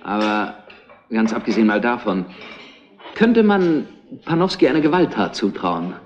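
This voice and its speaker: Retro radio play: polite, persistent detective, animated low pitch, deliberate, muffled recording. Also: male voice. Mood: measured, probing. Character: persistent, measured male police investigator. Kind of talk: retro crime radio drama acting. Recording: dull lo-fi old radio play.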